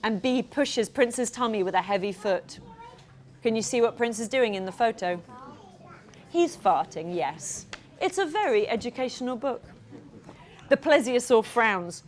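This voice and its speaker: Animated, high-voiced woman storyteller. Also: a little slow, pauses often. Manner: playful, expressive. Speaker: children's author reading aloud. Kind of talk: animated storytelling to a live audience of children.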